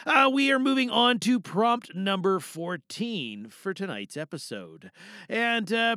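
Bright, animated high-voiced male host. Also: no room sound, clean studio sound. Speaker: podcast host. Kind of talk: chatty show introduction.

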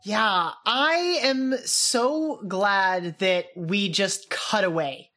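A woman's voice: medium pitch, very slow, a bit bright. A woman co-hosting a podcast, talking casually as she reviews a show with a partner. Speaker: podcast co-host. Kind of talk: casual chat reviewing a radio drama.

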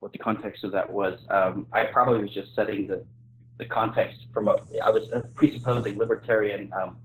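A man's voice: lowish voice, fairly even intonation, fairly quick, a little hiss or hum, low-quality recording. A man speaking as a guest author in an informal intellectual discussion, arguing his case and often rephrasing mid-sentence.

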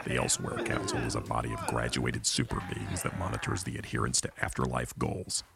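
A man playing a stern, officious old eyeball inspector in an audio comedy, very deep and gravelly, with formal, clipped speech. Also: lively intonation, brisk, music in the background, full character voice. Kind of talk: audio comedy drama acting.

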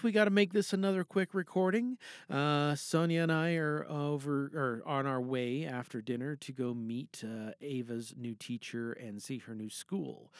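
A man's voice: on the high side, bright, forceful tone, no echo, clean studio sound. A man hosting a podcast with casual, chatty, playful and theatrical talk. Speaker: podcast host. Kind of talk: casual, chatty show introduction.

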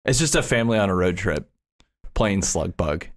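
Man, podcast co-host, lowish voice, animated pitch movement, casual conversational talk. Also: moderate pace.

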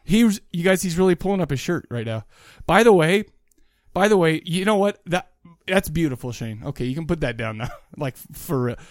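A man's voice: a little high, lively intonation, fairly quick, no echo, studio quality. A man co-hosting a podcast in casual comic banter, teasing, swearing and repeating himself. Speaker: podcast co-host.

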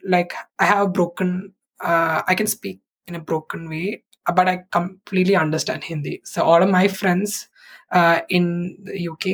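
A woman's voice: on the low side, breathy edge, low-quality recording. A woman in her twenties from India with an Indian English accent, a native Malayalam speaker, chatting as an interview guest and filling gaps with "like" and "uh". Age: 20s. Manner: chatty, fills with "like" and "uh". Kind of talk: casual interview answers.

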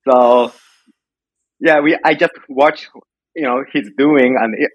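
Male interview guest, Japanese accent, mid-range pitch, halting casual storyteller, dry audio. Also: no room sound, rough recording. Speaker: podcast guest, home brewer.

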